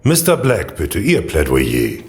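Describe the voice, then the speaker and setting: A man's voice: low voice, huge pitch swings, takes it slowly, full character voice. A man playing a wry, authoritative court judge in an audio drama, with a dry, commanding manner. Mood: dry, stern.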